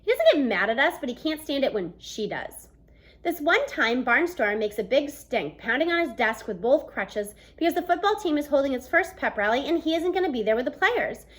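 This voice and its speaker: A woman in her thirties reading a children's novel aloud as an expressive narrator, recorded at home on a device mic. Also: high voice, a little fast. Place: small room at home, indoors.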